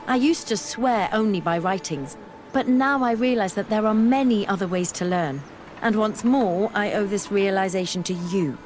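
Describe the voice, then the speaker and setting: An actress playing an earnest, scholarly young woman researcher in a fantasy video game, speaking in measured, formal phrases. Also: medium pitch, darkish tone, a little hiss or hum, natural voice. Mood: reflective, warm. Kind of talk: video game dialogue acting.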